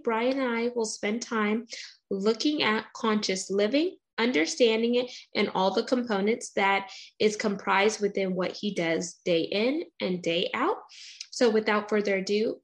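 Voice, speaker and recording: A woman's voice: mid-range voice, a little slow. Chatty woman hosting a podcast. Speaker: podcast host. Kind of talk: casual interview talk.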